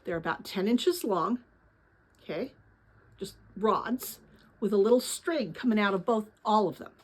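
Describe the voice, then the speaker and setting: A woman narrating a children's novel as a dramatic read-aloud, with an expressive delivery aimed at young listeners. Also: medium pitch. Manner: expressive, pauses to address young listeners with questions.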